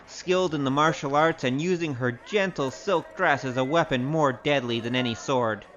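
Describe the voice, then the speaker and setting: A man's voice: mid-range voice, normal speed, fairly bright tone, highs cut off, lightly acted. A male actor playing a roguish, wry storyteller with a grandiose, theatrical manner in a comic audio drama. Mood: teasing, relishing. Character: roguish, boastful storyteller, wry poor adventurer. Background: music.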